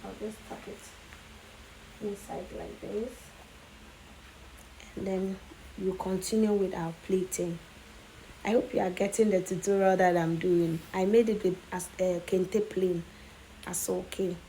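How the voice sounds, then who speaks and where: Hoarse woman giving a chatty tutorial. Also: mid-range voice, steady tone, measured pace, long pauses, dark tone, a little hiss or hum, rough recording. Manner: chatty, keeps adding "okay?". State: hoarse, lost voice from coughing. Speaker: tutorial host. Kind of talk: casual step-by-step tutorial.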